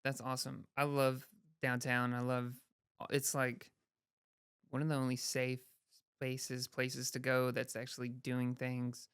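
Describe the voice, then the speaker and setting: Woman host, smooth clear voice, chatty, lots of "like", dry close mic. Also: rumbling low, monotone, a little slow, dry recording. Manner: chatty, says yeah and like a lot. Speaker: podcast host. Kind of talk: casual interview chat.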